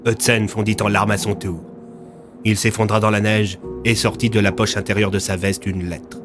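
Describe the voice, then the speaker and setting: A man's voice: on the low side, level tone, normal speed. A man narrating a scripted audio fiction story in a dramatic storytelling style. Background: music.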